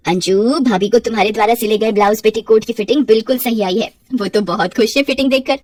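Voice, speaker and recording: Kindly elderly neighbour woman, acted by a female narrator, talkative and homely, cartoon story, higher pitch, set back from mic. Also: fast talker, a little mellow, close to an everyday voice. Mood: affectionate, chatty. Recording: roomy echo, distant from mic.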